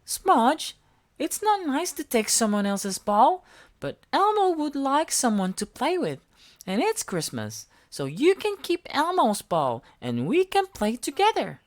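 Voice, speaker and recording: A woman's voice: high-pitched, wildly swooping pitch, a little slow, pitched above the performer's own voice, heavily acted. A woman voicing a sweet, curious little monster child who talks about himself in the third person. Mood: earnest. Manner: speaks of self in third person.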